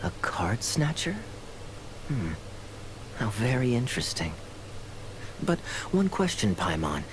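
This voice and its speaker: A man's voice: on the low side, sing-song, slow, noisy background. A man voicing a suave, sly, teasing young knight in an anime-style video game, with a knowing drawl. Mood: intrigued.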